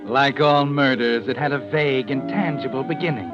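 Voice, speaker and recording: A man's voice: medium pitch, close to an everyday voice. Ominous, all-knowing old-time radio mystery narrator played by a man. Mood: brooding. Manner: ominous, measured, theatrical. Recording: muffled old radio broadcast, music behind.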